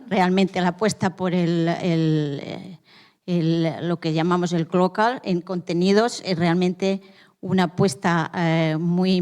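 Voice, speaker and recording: A woman hosting an event, giving formal introductory remarks in a halting way as she searches for words. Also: fairly low pitch, a little mellow, clean tone. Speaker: event host introducing a guest.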